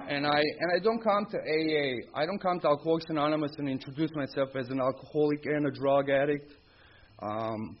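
A middle-aged man from Croatia with a Croatian accent, telling his personal story at a recovery meeting in blunt, candid, short sentences. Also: a little high. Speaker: speaker sharing his story at an Alcoholics Anonymous meeting. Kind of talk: personal recovery testimony, informal spoken storytelling. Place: live talk at a recovery meeting. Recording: dull lo-fi audio with clear background noise.

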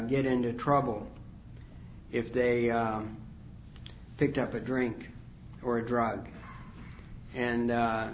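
Older man giving a halting personal testimony. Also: mid-range voice, lo-fi sound, slight background noise. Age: 60s. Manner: rambling, halting, many fillers. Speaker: speaker sharing his recovery story. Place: conference hall.

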